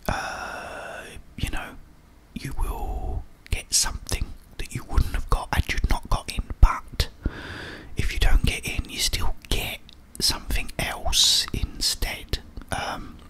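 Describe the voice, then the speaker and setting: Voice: slow, bright, forceful tone, poor audio. An ASMR YouTuber, whispering a rambling, friendly chat with many fillers.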